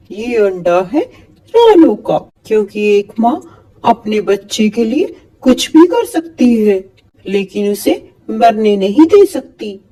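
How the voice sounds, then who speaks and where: A woman voicing a male owl king who judges the birds in a moral-story cartoon, measured and commanding. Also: fairly high pitch, dark tone, rough recording.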